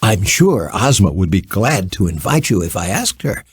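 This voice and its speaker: A man's voice: sits low, sing-song, normal speed, slightly rough, no echo, clean studio sound, heavily acted. A man voicing an earnest, polite little girl in a children's storybook, exclaiming and sincere. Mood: eager.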